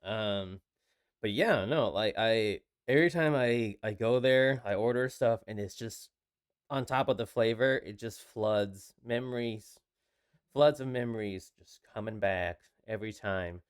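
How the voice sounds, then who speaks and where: Guy cohosting a podcast, lowish clean voice, super dry sound, kinda halting, rephrases as he goes. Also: clear, resonant tone, dry recording. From Taiwan. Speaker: podcast co-host. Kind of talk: casual conversational storytelling.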